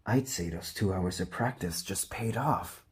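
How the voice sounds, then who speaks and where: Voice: low voice, unhurried, a little hiss or hum. A vlogger chatting casually in a self-deprecating, hesitant, giggly manner. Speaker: vlogger doing a voice acting challenge. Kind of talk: casual, chatty vlog talk.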